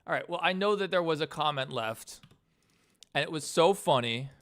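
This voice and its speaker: A man with a New York accent chatting casually as he works on animation, animated, joking and prone to swearing. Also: fairly high pitch, moderate pace, dry recording. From Queens, New York. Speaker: streamer and animator. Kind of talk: casual reacting and chat while working.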